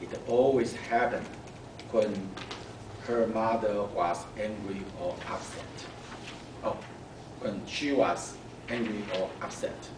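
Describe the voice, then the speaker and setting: Slow-reading male storyteller in echoey room. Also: fairly low pitch, fairly even intonation. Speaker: storyteller at a speaking club. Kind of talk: expressive children's story reading. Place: club meeting room. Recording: echoey room, distant mic, background noise.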